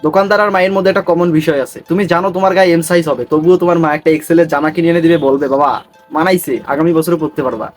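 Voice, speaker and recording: A man's voice: fairly high pitch, steady tone, racing, faint music behind. A man with a Bangladeshi accent delivering teasing, scripted comic commentary on memes as a channel host. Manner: mocking, teasing. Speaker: host of a meme review channel.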